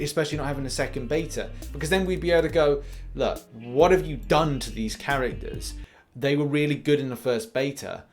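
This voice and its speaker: Man hosting gaming news over music. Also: medium pitch. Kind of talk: gaming news commentary, part read, part off-the-cuff. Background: background music.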